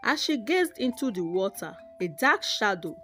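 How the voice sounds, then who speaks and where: This is a woman telling a scripted folktale. Her delivery is dramatic and expressive, with pitch that moves in lively swings. Music plays softly behind her words. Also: moderate pace. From Nigeria. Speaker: storyteller, narrator.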